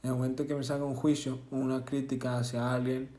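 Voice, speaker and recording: A man's voice: medium pitch, little pitch movement, a little fast, mellow, echoey room. Earnest man, reflective vlog. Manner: casual and earnest, with self-corrections and tag questions. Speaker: vlogger. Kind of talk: reflective personal monologue.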